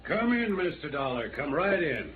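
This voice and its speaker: An actor playing an earnest, uneasy small-town mayor in a 1950s radio drama, speaking formally with slight hesitations. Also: male voice, on the high side, very animated, moderate pace. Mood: cordial. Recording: noisy, narrow-band old radio recording.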